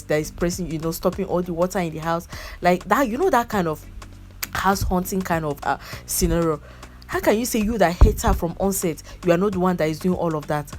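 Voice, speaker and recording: A woman's voice: lowish voice, a little mellow. A young woman chatting casually about a movie, repeating herself and breaking into laughs. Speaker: movie reviewer. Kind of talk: casual movie review chat.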